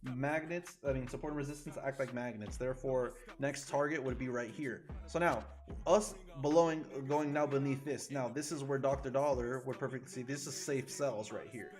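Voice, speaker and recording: A man from Chile, a forex trader chatting casually and excitably with his live viewers, swearing freely. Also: mid-range voice, moderate pace. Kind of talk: casual livestream commentary. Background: faint music.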